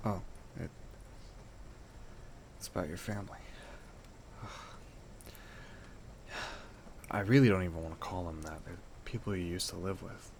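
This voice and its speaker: A man's voice: sits low, fairly even intonation, normal speed, creaky, long pauses, darkish tone, slight background noise. A man playing an affectionate, playful and protective boyfriend in an intimate roleplay audio drama, talking in a tender, teasing, slightly stammering way. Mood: gentle, concerned.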